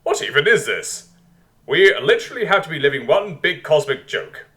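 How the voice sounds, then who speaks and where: A man voicing an irreverent, easily amazed Earth leader with a casual, wry, excitable manner in a story. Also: fairly high pitch, a little fast, voice pushed up. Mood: incredulous.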